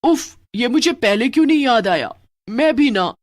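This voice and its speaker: A woman's voice: a little high, expressive, normal speed, pitched above the performer's own voice, natural voice. A woman voicing a loyal female witch who scolds her friend with urgency. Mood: worried. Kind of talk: cartoon voice acting.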